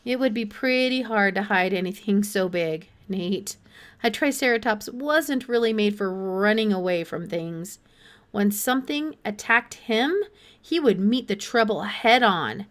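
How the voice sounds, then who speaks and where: Woman playing a gentle, worried male doctor. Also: mid-range voice, lively intonation, measured pace, lightly acted. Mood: weary, reassuring. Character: kindly, worried male doctor reassuring a child. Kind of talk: children's audiobook character voice.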